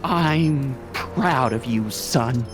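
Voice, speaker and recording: A man's voice: medium pitch, extremely slow, over music. An actor playing a meek, middle-aged American small-town father in a video game, earnest, folksy and prim. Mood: earnest, proud. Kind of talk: animated video game dialogue acting.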